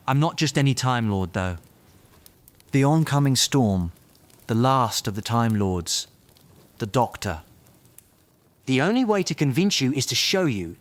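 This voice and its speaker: Man acting a defiant, sarcastic reformed dark wizard. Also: mid-range voice, sing-song, measured pace. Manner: sarcastic, pleading, insistent. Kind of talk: fan audio drama acting.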